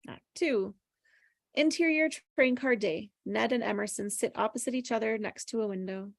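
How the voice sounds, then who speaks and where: Creaky-voiced woman reading stage directions. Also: on the high side, normal speed, no room sound. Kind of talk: scripted narration at a table read.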